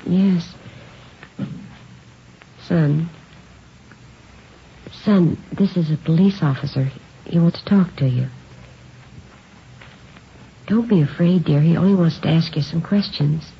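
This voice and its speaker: Low, creaky-voiced worried mother. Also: slow, long pauses, full character voice. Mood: gentle, coaxing. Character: worried, gentle mother of a young boy. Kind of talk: 1950s radio drama acting. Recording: dull, noisy old radio recording.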